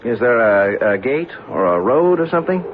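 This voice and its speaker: A man's voice: medium pitch, very animated, moderate pace, noisy background, close to an everyday voice. An actor playing a skeptical, down-to-earth man in a radio drama, speaking in a blunt, colloquial way. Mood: wary, casual. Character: skeptical, down-to-earth man investigating strange phenomena, narrating. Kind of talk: radio drama acting and first-person narration. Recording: phone-like old radio recording, music behind.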